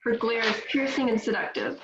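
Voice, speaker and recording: A woman reading stage directions in a steady, matter-of-fact way at a table read. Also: fairly high pitch, a little fast. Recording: echoey, dull, faint noise.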